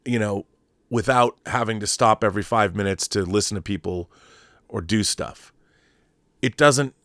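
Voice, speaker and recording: A man's voice: fairly low pitch, fairly bright tone, no room sound, clean studio sound. A middle-aged American man giving casual, opinionated commentary as a show host, rambling and emphatic, with some swearing.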